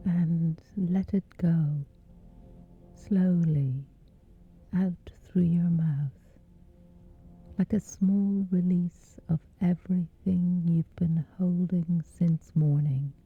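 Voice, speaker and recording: A woman speaking softly in a whispery, breathy voice, gently and soothingly guiding a sleep meditation with long, measured pauses. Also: lowish voice, fairly even intonation. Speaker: guided meditation host. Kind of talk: slow guided sleep meditation. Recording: dull muffled audio under music. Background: music.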